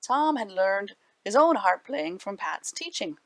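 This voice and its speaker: A woman reading aloud to children as a library storyteller, animated and dramatic with deliberate phrasing. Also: mid-range voice, no room sound.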